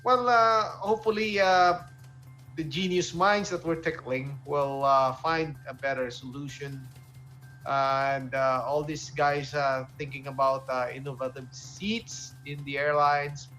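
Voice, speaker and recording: Higher-pitched man hosting over music. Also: flat delivery, measured pace, a bit bright. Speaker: talk show host. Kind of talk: current affairs discussion. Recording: music bed behind the voice.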